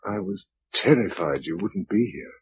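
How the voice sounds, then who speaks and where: British radio drama survivor hero, clipped n earnest, very lively intonation, old muffled recording. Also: male voice, sits low, moderate pace, gravelly, slightly rough, heavily acted. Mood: quiet, tender. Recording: dull, faintly noisy old radio recording.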